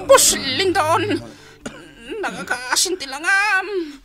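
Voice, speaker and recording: A woman's voice: extremely high pitch, expressive, slow, full character voice. Shrieking, frantic pleading woman. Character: distraught woman pleading for her boss's life. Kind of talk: Philippine radio drama acting. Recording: loud dramatic music behind voice.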